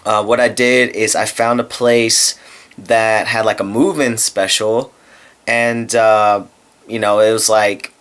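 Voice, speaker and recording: A man's voice: lowish voice, a bit bright. A man in his twenties chatting casually to his camera while lying in bed, rambling and candid with a self-deprecating streak. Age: 20s. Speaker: vlogger. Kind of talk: casual vlog chat to camera. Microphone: handheld camera mic.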